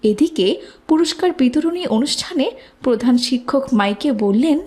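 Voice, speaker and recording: A woman's voice: high voice, animated, normal speed, dark tone. A woman working as narrator, reading a scripted moral tale.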